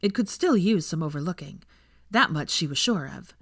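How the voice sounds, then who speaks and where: A woman reading fan fiction aloud as scripted, expressive story narration. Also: sits low, moderate pace, gravelly, no echo, studio quality.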